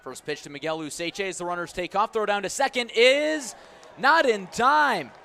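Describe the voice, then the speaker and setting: A man doing live baseball play-by-play on the radio, mixing clipped pitch calls with conversational asides. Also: normal speed, bright, forceful tone, a faint crowd. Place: outdoor baseball stadium. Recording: clear outdoor stadium ambient noise. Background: outdoor ballpark ambience.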